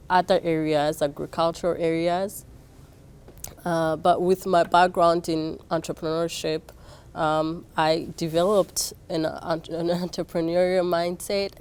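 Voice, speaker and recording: A woman's voice: low voice, darkish tone, smooth. A young adult woman from Rwanda, a program chair, answering interview questions with frequent fillers and restarts. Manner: hesitant, many fillers and restarts.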